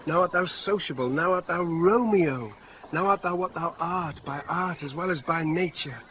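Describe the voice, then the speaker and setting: An actor playing a witty, mocking young gentleman in a 1950s radio Shakespeare play, with theatrical, bawdy banter. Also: on the high side, moderate pace, close to an everyday voice. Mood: playful banter. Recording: dull, noisy old radio recording.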